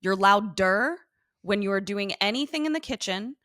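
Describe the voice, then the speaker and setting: Female podcast co-host, very lively intonation, dry close recording. Blunt, sweary, mock-exasperated banter. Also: mid-range voice, moderate pace, dry recording. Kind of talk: casual banter with co-host spouse. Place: home garage studio.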